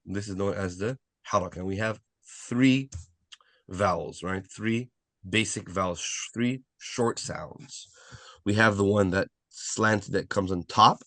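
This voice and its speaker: Low-voiced man teaching a lesson. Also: measured pace, bright, forceful tone, dry recording. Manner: repetitive, checks understanding with frequent "right?". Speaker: Arabic language teacher. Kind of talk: live online beginner lesson, explaining step by step.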